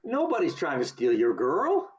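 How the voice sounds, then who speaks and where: A man's voice: on the high side, wildly swooping pitch, moderate pace. A man acting an earnest, stubborn young small-town man in an amateur radio play, with stammering, emphatic delivery over a video call. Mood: guarded, earnest. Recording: muffled video call audio.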